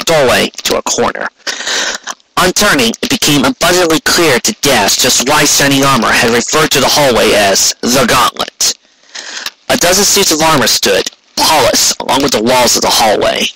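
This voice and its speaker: Bright-voiced man narrating a story. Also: medium pitch, a little hiss or hum, low-quality recording. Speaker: fan fiction narrator.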